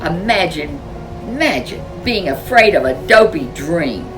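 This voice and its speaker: Woman voicing a scoffing young bear cub brother, lively pitch. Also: a little slow, music in the background, rough recording.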